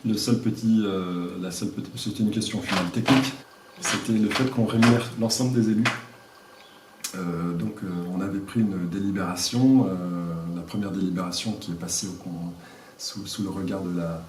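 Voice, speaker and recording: A man answering interview questions as a village mayor, speaking informally and haltingly as he searches for words. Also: deep voice, steady tone. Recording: echoey room, distant mic, faint noise.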